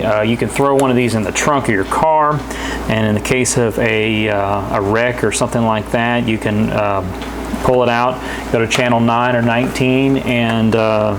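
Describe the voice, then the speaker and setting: A man giving a casual, unscripted product demonstration indoors, speaking in a halting, hesitant, plain-spoken way. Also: medium pitch, steady tone, noisy background. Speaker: product reviewer. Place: indoors in a house.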